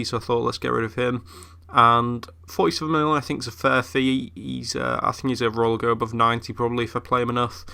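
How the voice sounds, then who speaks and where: A man's voice: mid-range voice, vocal fry, bright, forceful tone, smooth. Chatty young man with a cold. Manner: chatty, full of fillers. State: ill, voice a bit off. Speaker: gaming YouTuber. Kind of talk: casual game commentary.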